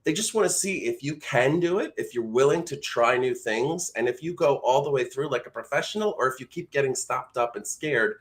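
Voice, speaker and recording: A man's voice: medium pitch, expressive, brisk, hardly any pauses. An American man coaching voice-over in a casual, encouraging, live-lesson style.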